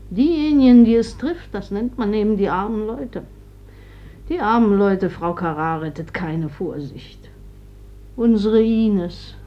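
Sad older mother in a radio play, soft dark tone, earnest, kinda dull old recording. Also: medium pitch. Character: grieving older mother, plain-spoken, resigned and conciliatory. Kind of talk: German stage-like radio drama acting.

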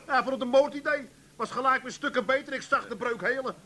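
A blunt Amsterdam pub regular with a high, bright voice. He talks colloquially and bossily, like a street-wise husband, in a 1980s comic radio play with faint noise behind. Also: quick pace. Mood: pleased.

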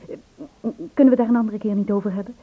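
An actress playing a soft, hesitant, evasive sweetheart in an old Dutch radio play. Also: female voice, mid-range voice, fairly even intonation, racing, close to an everyday voice. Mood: hesitant, coaxing. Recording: tinny phone-like old radio recording.

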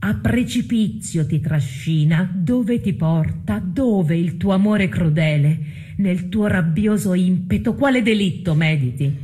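An actress playing a solemn chorus narrator, declaiming classical tragedy in an accusing manner. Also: female voice, lowish voice, unhurried, warm tone, natural voice. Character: solemn chorus narrator denouncing a dangerous foreign sorceress.

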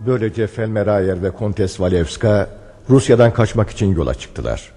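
A man narrating a radio drama in a measured storytelling manner, from a script. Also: fairly low pitch, lively intonation, dark tone, big-room sound, soft background music.

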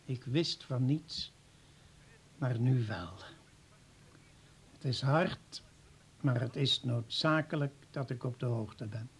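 An actor playing a proud, upright professor and medical director in a radio play, gravelly, husky and emphatic. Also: male voice, medium pitch, slow pace, pauses often, heavily acted. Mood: shaken, grave.

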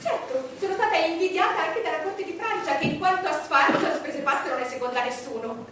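An actress playing an imperious, vain, solitary English queen in a declamatory stage monologue with dramatic pauses. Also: extremely high pitch, recorded from a distance. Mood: proud, reflective. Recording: noisy, echoey stage recording from afar.

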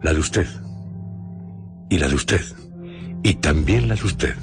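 A man with a Castilian Spanish accent hosting a radio show, narrating a script in a solemn, theatrical, deliberate way with pauses. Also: very deep voice, expressive. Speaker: radio host. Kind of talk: dramatic scripted radio narration introducing horror and adventure stories. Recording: music bed under studio radio voice. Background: music.

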